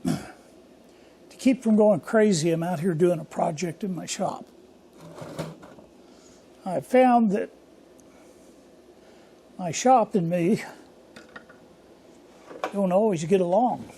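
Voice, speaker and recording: An older man hosting a casual workshop vlog from his home machine shop, talking in a hoarse, rambling, folksy way. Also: high voice, slow, pauses often, dark tone, a little hiss or hum. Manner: rambling, folksy and self-deprecating. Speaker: workshop channel host.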